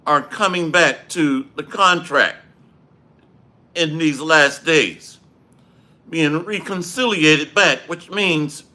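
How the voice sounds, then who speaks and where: A man teaching a livestreamed Bible lesson with a dry throat, clearing it often and preaching in a measured way. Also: fairly high pitch, sharp tone. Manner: slow, measured preaching with Hebrew phrases. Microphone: livestream microphone.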